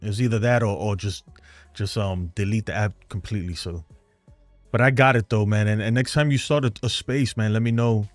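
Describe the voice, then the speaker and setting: A man with a New York accent talks in a low, dry voice, rambling and frank as he hosts a casual live stream. He chats loosely with viewers, and faint music plays behind him. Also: a little fast, no room sound. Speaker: host of a fan live stream.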